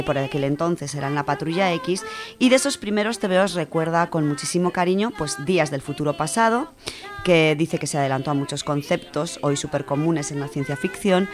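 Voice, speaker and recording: A woman with an accent from Spain presenting a radio show, chatting informally as she reads out listener contributions. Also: low-pitched. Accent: Spanish from Spain. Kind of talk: casual radio chat, reading out listener contributions.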